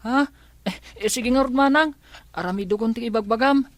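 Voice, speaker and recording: Woman as put-upon little sibling in radio drama, kinda high voice, meek n whiny, dry sound. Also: brisk, no room sound, natural voice. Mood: plaintive, submissive. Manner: plaintive, submissive. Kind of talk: Ilocano radio drama acting.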